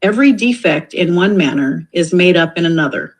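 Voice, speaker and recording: A woman reading scripted audiobook commentary in a deliberate, reflective way. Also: lowish voice, lively intonation, poor audio.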